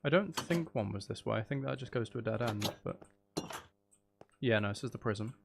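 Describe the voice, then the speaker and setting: A man's voice: medium pitch, brisk, vocal fry, noisy background. A man giving casual gameplay commentary to viewers, thinking aloud and questioning himself in a wry, joking way. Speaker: streamer, host of the channel.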